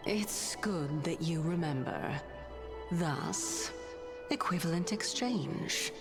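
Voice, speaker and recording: A woman's voice: lowish voice, slow. A woman voicing a sly, sardonic, teasing female titan in a game cutscene. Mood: teasing, composed. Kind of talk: scripted game cutscene dialogue. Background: music.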